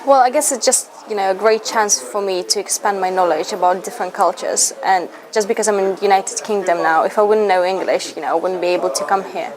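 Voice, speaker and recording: A woman's voice: medium pitch, fairly even intonation, fairly quick, hardly any pauses, crowd noise, rough recording. A woman in her twenties from Lithuania whose first language is Russian, a university student earnestly answering interview questions with frequent "you know" fillers. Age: 20s.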